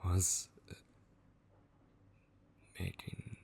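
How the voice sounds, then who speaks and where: A man playing an obsessive, possessive kidnapper in an ASMR horror roleplay, with a breathy, gravelly voice that slips into whispers and lingers tenderly and menacingly over words. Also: very slow, warm tone. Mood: taunting, hushed. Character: obsessive, possessive male kidnapper, unhinged, taunting and devoted.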